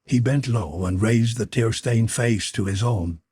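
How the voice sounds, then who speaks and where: A man narrating a classic adventure novel as an audiobook, in a measured, dramatic storytelling manner. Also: lowish voice, a little mellow, a little hiss or hum.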